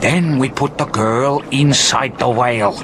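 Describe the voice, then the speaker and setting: A man's voice: medium pitch, a little slow, background noise, lightly acted. An actor playing a rugged, resourceful seafaring man in a radio thriller, speaking in terse, earthy sentences that often end in a questioning tag. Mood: commanding.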